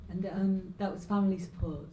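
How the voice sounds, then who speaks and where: A woman's voice: mid-range voice, fairly even intonation, brisk. A woman asking short questions as the interviewer in an oral history recording, away from the microphone. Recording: distant, dull mic with faint noise.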